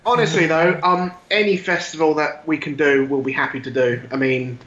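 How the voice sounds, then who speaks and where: Man, casual interview banter, high pitch, bright forceful tone, echoey recording. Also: fairly even intonation, moderate pace, lo-fi sound. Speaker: band member being interviewed. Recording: echoey room.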